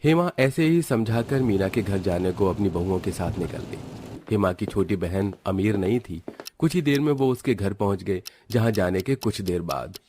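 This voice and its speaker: Guy with a low voice reading out a story, some noise and clicks behind. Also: lively intonation, normal speed, dark tone. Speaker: narrator. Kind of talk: scripted storybook narration.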